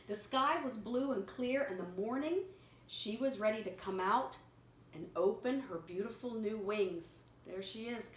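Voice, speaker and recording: A woman reading a picture story aloud to a young child, pausing to explain words simply and prompt repetition. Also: medium pitch. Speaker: children's story reader and teacher. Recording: echoey room with clear background noise.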